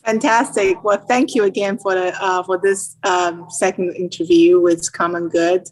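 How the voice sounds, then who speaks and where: Lower-pitched middle-aged woman, Taiwanese accent. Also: steady tone, poor audio. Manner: halting, non-native phrasing. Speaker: interview guest, nonprofit founder. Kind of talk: conversational personal storytelling.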